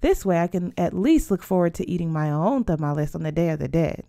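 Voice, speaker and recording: A woman's voice: on the low side, animated, fairly quick, vocal fry, dark tone, no echo, clean studio sound. A woman reading the part of a feisty, stubborn mother in a storybook, with an emphatic, scolding manner.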